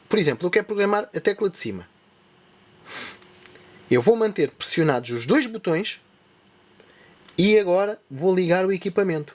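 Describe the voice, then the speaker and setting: Tech tutorial host, a man with a European Portuguese accent, fairly high voice, step-by-step demo. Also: husky. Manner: step-by-step explaining while demonstrating. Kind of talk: hands-on device tutorial.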